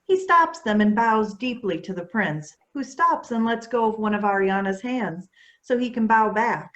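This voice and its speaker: Woman narrating stage directions, medium pitch. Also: animated, normal speed, fairly bright tone, highs cut off. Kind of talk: scripted narration at a staged play reading.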